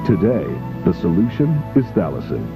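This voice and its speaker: A man playing a formal, measured pharmaceutical advert announcer in an analog horror video. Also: deep voice, very animated. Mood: clinical sales pitch. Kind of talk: scripted infomercial voice-over, analog horror. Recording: muffled lo-fi tape, music behind. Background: clear background music.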